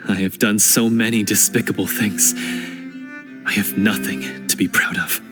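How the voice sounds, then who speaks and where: A man's voice: fairly low pitch, steady tone, a little slow, music in the background, lightly acted. A man voicing a remorseful young male hunter in a game cutscene, speaking in an earnest, hesitant, self-reproaching way and stammering at times. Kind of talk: video game cutscene voice acting.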